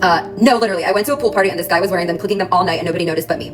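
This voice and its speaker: A woman rattling off personal anecdotes in a quick matter-of-fact way. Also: fairly low pitch. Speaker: storyteller in clip. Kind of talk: fast listed anecdotes. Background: music.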